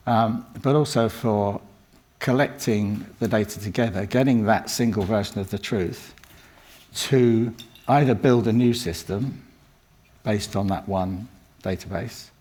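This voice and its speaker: An older man with a croaky voice from a cold, giving a rambling conference talk full of ums and restarts. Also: on the low side, darkish tone. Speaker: conference speaker. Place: conference room.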